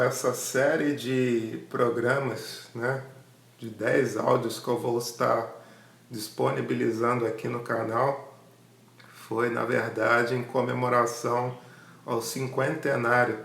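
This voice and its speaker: Man, Brazilian Portuguese accent, gravelly fry, roomy echo, informal channel host introduction. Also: mid-range voice. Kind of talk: informal spoken introduction to archive audio.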